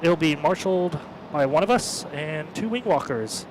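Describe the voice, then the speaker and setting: A man's voice: on the high side, expressive, normal speed, noisy background. A young man who works the airport ramp, chatting casually and easily about his job. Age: young adult. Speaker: ramp worker and guest. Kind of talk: casual chat.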